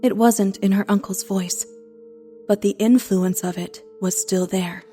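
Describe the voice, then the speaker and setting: A woman's voice: medium pitch, steady tone, unhurried, mellow. A woman narrator reading a scripted horror story. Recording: music under the voice.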